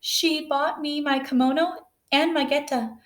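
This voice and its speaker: A woman doing a small girl, quiet and proud. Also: high voice, fairly even intonation, a little slow, reverberant, pitched above the performer's own voice. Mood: gentle, proud. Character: young girl in kimono, calm and proud.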